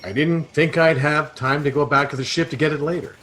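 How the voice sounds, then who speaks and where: A man playing a mild, earnest, slightly befuddled space adventurer in a plain, measured way for a comic sci-fi audio drama. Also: on the high side, steady tone, a bit bright, lightly acted. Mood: puzzled.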